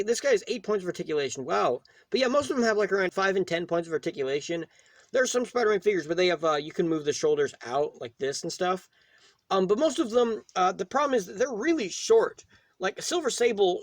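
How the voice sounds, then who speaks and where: A man hosting a casual, unscripted toy review, talking in a rambling, halting way and often rephrasing himself. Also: high-pitched, very animated, no room sound. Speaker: toy reviewer, video host.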